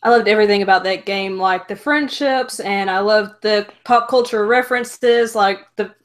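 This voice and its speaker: A woman in her twenties hosting an interview on a video call over a headset, talking fast and enthusiastically. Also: medium pitch. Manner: chatty and fast, nerdy enthusiasm. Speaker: host. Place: bedroom with shelves of figures.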